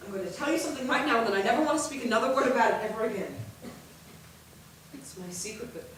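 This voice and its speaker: An actress playing an intense sister urgently confiding a secret love on stage. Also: female voice, fairly high pitch, big pitch swings, moderate pace. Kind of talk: stage acting. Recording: echoey stage from far off, faint noise.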